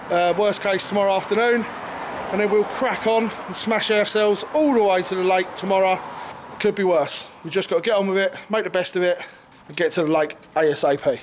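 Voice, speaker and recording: A man's voice: high-pitched, slight background noise. A man, chatting cheerfully to camera outdoors about a road trip. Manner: cheerful, chatty, laughs often. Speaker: carp angler and presenter. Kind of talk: casual vlog to camera. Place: outdoors at a garage forecourt.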